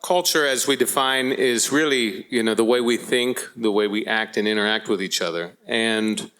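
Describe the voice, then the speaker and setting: A middle-aged American man speaking as a guest on a panel, choosing his words deliberately with halting phrasing. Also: lowish voice, fairly bright tone, clear, resonant tone. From Florida, United States. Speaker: panel guest, company executive. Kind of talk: panel discussion, explanatory.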